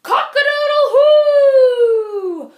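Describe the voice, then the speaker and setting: A woman voicing a plaintive storybook owl with loud, drawn-out hoots. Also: very high voice, echoey room, lo-fi sound, voice pushed up, exaggerated voice. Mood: proud shouting. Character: sad young owl hooting and crowing proudly.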